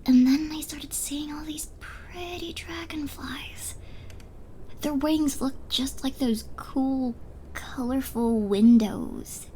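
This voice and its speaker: Woman acting a tipsy, rambling, slow-talking young rival. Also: high-pitched, dark tone, a little hiss or hum. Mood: dreamy, rambling. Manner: rambling, tipsy, stammering and trailing off. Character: drunk, giddy, smitten young woman rival with illusion powers. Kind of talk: ASMR roleplay acting.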